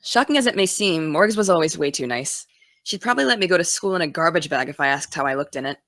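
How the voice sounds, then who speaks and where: A woman's voice: low voice, animated, fast, a bit bright, no room sound. A woman playing a driven, distracted college-bound young woman in an audio drama, speaking casually and wryly. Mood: busy, chatty. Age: young adult. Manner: casual, wry. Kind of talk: naturalistic audio drama dialogue.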